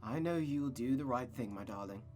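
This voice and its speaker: A man's voice: mid-range voice, lively intonation, a little fast, a little mellow, faint music behind, natural voice. Man voicing a cold, controlling, bitter mother. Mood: cold, brooding. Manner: cold, commanding, lecturing. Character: controlling, bitter, menacing mother, adult woman. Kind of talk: visual novel voice acting.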